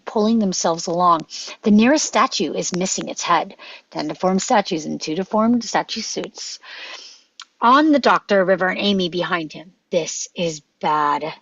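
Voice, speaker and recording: A woman's voice: fairly low pitch, fairly bright tone, slightly rough. A woman reading stage directions as dramatic scripted narration at a table read. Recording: dull, dry lo-fi microphone.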